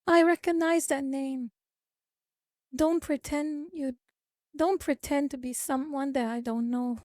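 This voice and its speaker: High-voiced, unhurried female streamer. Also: steady tone, darkish tone, no echo, studio quality. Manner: chatty, lecturing, reads chat aloud. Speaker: VTuber streamer. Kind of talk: casual live-stream chat with viewers.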